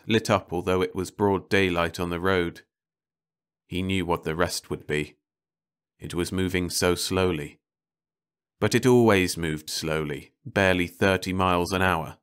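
A man's voice: low-pitched, a bit bright, clear, resonant tone. A man narrating a short story as a scripted audiobook reading.

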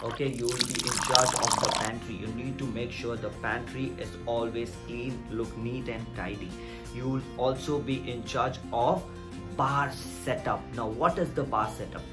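A man's voice: medium pitch, steady tone, normal speed. A man, explaining things to viewers in an emphatic, repetitive, direct way. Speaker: video host giving career advice. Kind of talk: explainer vlog to camera. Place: small plain room indoors. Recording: music behind the voice.

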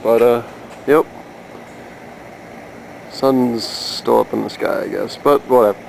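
A man's voice: medium pitch, steady tone, takes it slowly, vocal fry. A man giving a casual, unscripted demonstration of his hobby gear outdoors, hesitant and thinking aloud as he films with a handheld camera. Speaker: amateur radio hobbyist filming his gear. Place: outdoors in a yard. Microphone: handheld camera microphone. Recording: noisy handheld camera with background hum.

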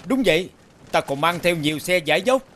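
A man playing an anxious, devoted king in an animated fairy tale, speaking in a formal, courtly way. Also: a little high, a little fast, slight background noise. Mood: respectful, pleading.

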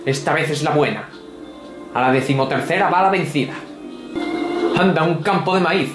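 Sarcastic gaming host, slightly high voice, echoey room, game music loud behind. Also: male voice, moderate pace. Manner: sardonic, grumbling, mock-pompous. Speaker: gameplay commentator. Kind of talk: sarcastic ranting game commentary.

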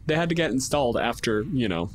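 A man's voice: mid-range voice, lively intonation, fast talker, very noisy. A man narrating a tabletop game as game master in a casual, improvised, wry and descriptive way. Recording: music underneath. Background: background music.

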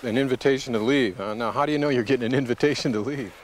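A man's voice: mid-range voice, racing. A man hosting an outdoor show, chatting casually and asking curious, folksy questions while hiking. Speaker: show host. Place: outdoors in open coastal tundra, on the move.

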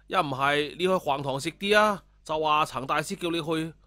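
A man's voice: on the high side, normal speed, pushed and bright, no room sound, faint music behind. A man voicing a kind-hearted, greedy talking weasel in an audio story, chatty and inquisitive. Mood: sympathetic.

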